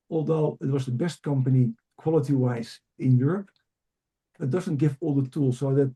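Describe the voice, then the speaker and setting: A man's voice: mid-range voice, warm tone. A middle-aged man from Amsterdam with a Dutch accent, a native Dutch speaker, telling his story conversationally as a guest. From Amsterdam, Netherlands. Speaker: podcast guest, leadership coach.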